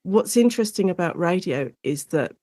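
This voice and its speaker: A British woman speaking as an expert guest in a conversational interview, explaining history in an informal, animated storytelling way. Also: fairly low pitch, normal speed, no room sound, clean studio sound. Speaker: guest expert, lecturer and author on Shakespeare in radio.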